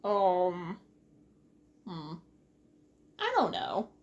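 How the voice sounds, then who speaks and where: A woman's voice: fairly low pitch, huge pitch swings, very slow. A woman doing casual Let's Play commentary as host, playful and rambling with sing-song exclamations. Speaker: gaming video host.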